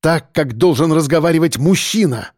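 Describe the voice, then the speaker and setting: Male narrator as harried adult son, fairly high male voice, insistent, impatient, dry close sound, audiobook drama. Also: expressive, a little mellow, no echo. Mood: angry outburst. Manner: hurried, breathy exhaling, insistent. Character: harried grown son, urgent, impatient with his mother.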